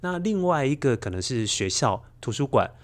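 A middle-aged man speaks with a Taiwanese accent in a medium-pitched voice. He is a storyteller being interviewed, recounting personal experiences in a conversational way. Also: big pitch swings, moderate pace. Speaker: podcast storyteller, interview guest.

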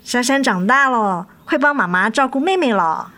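A woman acting a gentle, weary, loving middle-aged mother in a radio drama, soothing and affectionate. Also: on the high side, lively intonation, moderate pace. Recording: music under the voice.

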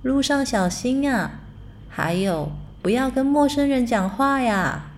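A woman voicing a caring mother in a bedtime storybook, speaking gently and urging care. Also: high-pitched, expressive, takes it slowly, slight background noise, pitched above the performer's own voice, heavily acted. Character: caring mother of a little girl.

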